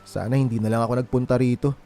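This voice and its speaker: An actor playing a heartbroken, wronged young boyfriend in a Filipino radio drama, with a plaintive, confiding manner and a Filipino accent. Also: male voice, medium pitch, fairly even intonation, fast, warm tone, close to an everyday voice. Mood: resigned. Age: young adult. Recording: music playing behind the voice.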